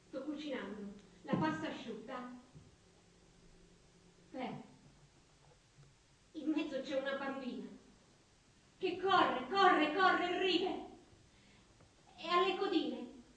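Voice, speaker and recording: An actress playing a dreamy, childlike, tender woman in a stage play, speaking in wistful, halting, simple phrases. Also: high voice, a bit bright, far from the mic. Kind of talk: tender stage theatre acting. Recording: very echoey theatre stage from afar, music.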